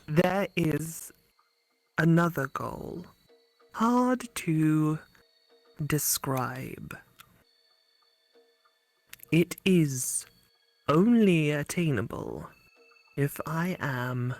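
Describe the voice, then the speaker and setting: A woman plays an otherworldly female companion creature for a visual novel game. She speaks very slowly in halting fragments, often sinking toward a whisper, with music playing underneath. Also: low-pitched, animated, gravelly, dry recording, full character voice. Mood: halting, eerie. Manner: slow, halting, broken phrases, hushed. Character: Mallow, slow halting female skull-faced phone-app companion creature.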